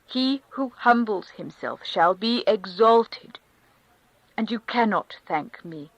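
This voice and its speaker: A woman's voice: mid-range voice, animated, slow, no room sound, pitched above the performer's own voice, poor audio. A woman reading a devout countess who consoles in a fervent, preaching manner. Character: devout rapturous aristocratic countess. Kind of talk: audiobook character reading.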